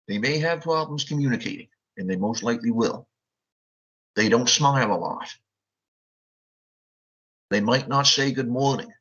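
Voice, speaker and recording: A man with a husky voice explains at a measured, steady pace on a panel. His audio is muffled and lo-fi, and his pitch sits mid-range. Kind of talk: panel discussion. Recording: dull lo-fi audio.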